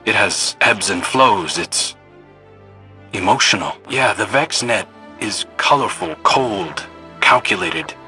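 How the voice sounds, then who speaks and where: Sharp, bright-voiced field operative. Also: male voice, on the low side, animated, slow, faint music behind, heavily acted. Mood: reflective. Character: wary, steady male field operative guiding a fighter. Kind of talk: scripted video game dialogue.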